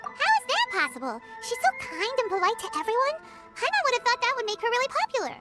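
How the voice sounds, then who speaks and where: A woman voicing a tiny, childlike, excitable fairy companion in a video game, chirpy and given to exclaiming. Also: extremely high pitch, fast talker, loud music behind the voice. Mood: surprised. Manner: speaks of herself in third person, exclaiming.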